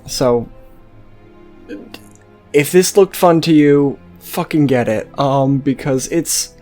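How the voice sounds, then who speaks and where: A let's-play host with a medium-pitched voice talks slowly and casually over faint game music. The delivery rambles and hesitates, with plenty of swearing mixed in. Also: mellow. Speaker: host of a gameplay series.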